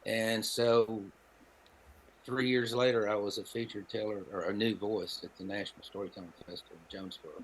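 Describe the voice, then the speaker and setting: A man's voice: on the low side, little pitch movement, a little fast, slightly rough, rough recording. A man in his seventies with a Southern Appalachian American accent, telling personal anecdotes in a folksy, wry, conversational way. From Appalachian Tennessee, United States. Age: 70s. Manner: folksy, wry, dry humor. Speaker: podcast guest, storyteller and songwriter.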